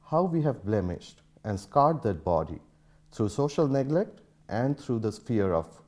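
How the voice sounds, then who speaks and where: A man's voice: lowish voice, very animated, unhurried, darkish tone. A man giving a public reading of a historic letter. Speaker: reader.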